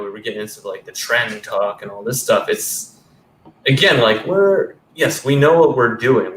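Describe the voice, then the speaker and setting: A man in his thirties hosts a laid-back sports podcast on a headset, rambling and swearing easily. His voice is bright, and it sits in a roomy space with a faint hum behind it. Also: mid-range voice. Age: 30s. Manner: loose, rambling, swears casually. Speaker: podcast host. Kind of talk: casual sports podcast chat. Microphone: headset on a video call. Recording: roomy sound with faint background noise.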